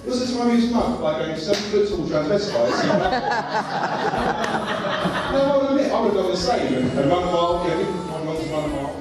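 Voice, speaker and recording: A young man tells cheeky stories in a best man speech and chuckles along with them. His voice is high and bouncy. It sounds distant and echoey in a large hall, with music in the background. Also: animated, a little fast, over a noisy crowd. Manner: jokey storytelling, laughing at own lines. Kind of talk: humorous wedding speech. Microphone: handheld mic, filmed from afar.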